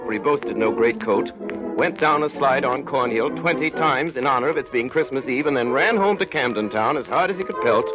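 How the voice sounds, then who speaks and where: A man's voice: medium pitch, a little fast, lo-fi sound. A man narrating a story as a dramatic scripted 1930s radio broadcast. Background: loud music.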